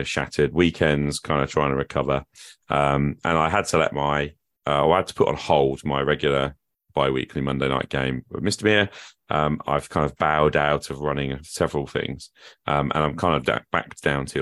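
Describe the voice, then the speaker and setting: Very deep-voiced British man, dry sound. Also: normal speed, fairly bright tone, no room sound. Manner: rambling, self-deprecating, many fillers. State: getting over a cold. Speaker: podcast host. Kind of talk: casual podcast chat.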